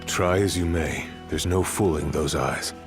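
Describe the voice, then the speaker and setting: A man's voice: very deep voice, unhurried, over music. An actor playing a seasoned older master swordsman, a wry and knowing mentor, in a video game cutscene. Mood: grave, reflective.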